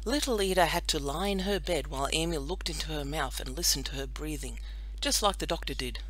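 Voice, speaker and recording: A woman narrating a children's audiobook in an expressive, scripted storytelling style. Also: low voice, a little fast, rough recording.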